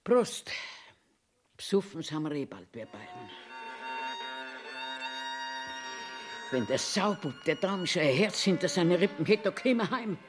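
A woman's voice: fairly low pitch, animated, normal speed, faint music behind, close to an everyday voice. An actress in her eighties playing a cranky, eccentric old toilet attendant in a theatrical monologue, querulously talking to herself in a Bavarian accent. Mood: grumbling, self-pitying. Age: 80s. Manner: self-talking, querulous, emphatic. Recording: echoey room sound.

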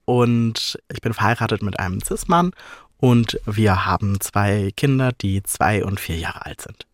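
A man in his forties answering interview questions as a podcast guest, calm and measured. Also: lowish voice, dry recording, clean studio sound. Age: 40s. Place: studio.

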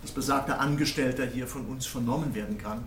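An actor playing a formal, sardonic, insinuating prosecutor in a radio drama. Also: male voice, medium pitch, fairly quick, natural voice. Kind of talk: naturalistic radio drama acting. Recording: echoey room, faint noise.

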